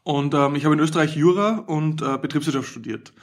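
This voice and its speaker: A man's voice: fairly high pitch, fairly quick. A man with an Austrian accent, an academic guest explaining his work conversationally in an interview.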